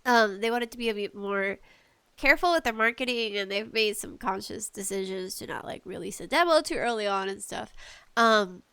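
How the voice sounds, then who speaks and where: A woman hosting a podcast, giving casual, opinionated gaming commentary in an animated, ranting, giggly way. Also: mid-range voice, normal speed, smooth, no echo. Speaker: podcast host.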